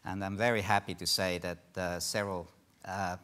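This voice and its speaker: An older Swiss-accented man speaks in a deep, low register from a conference stage. His delivery is measured, dotted with ums and uhs as he presents to the panel audience. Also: little pitch movement, sharp tone, clean tone. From Switzerland. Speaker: panelist, institute director.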